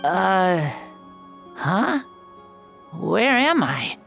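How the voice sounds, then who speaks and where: A frail little child from a video game, talking slowly with a creaky edge. The delivery is hesitant, earnest and wide-eyed, with music in the background. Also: female voice, lowish voice, very animated, a bit bright, heavily acted. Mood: dazed, hesitant. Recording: music behind the voice.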